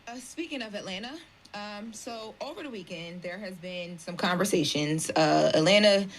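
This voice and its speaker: A woman's voice: medium pitch, moderate pace, a little hiss or hum. A woman presenting entertainment news in a conversational way, careful and explanatory in how she phrases things. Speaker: radio show news presenter.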